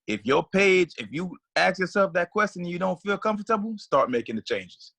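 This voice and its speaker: A man's voice: sits high, big pitch swings, normal speed, gravelly, a bit bright. A man in a casual training session, giving punchy, direct advice. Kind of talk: casual group training talk.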